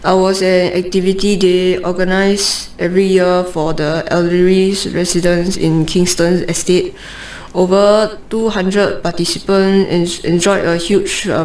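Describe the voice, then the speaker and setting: A woman reads a passage aloud like a student in an oral exam. Her lowish voice stays very flat, with slurred articulation and hesitant stumbles over words. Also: rough recording.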